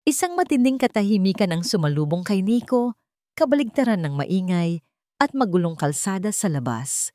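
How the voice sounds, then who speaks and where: A woman's voice: medium pitch, big pitch swings, normal speed, dark tone, dry recording, clean studio sound. A woman reading a scripted dramatic story as its narrator.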